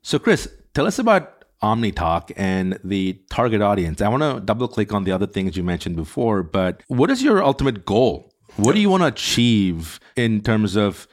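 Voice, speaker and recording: A man's voice: fairly low pitch, big pitch swings, normal speed, few pauses. A man hosting a business podcast, asking questions in a conversational interview style. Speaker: podcast host.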